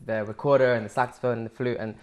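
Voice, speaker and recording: A man's voice: medium pitch, fairly even intonation, fast talker. A young man from Sri Lanka, answering interview questions casually with many fillers and restarts. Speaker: musician guest.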